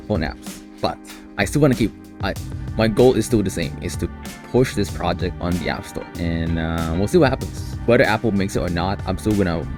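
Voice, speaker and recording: A man talking casually on a live stream as a developer, in a halting way, often repeating and restarting his phrases. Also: sits low. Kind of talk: casual live stream talk about a coding project.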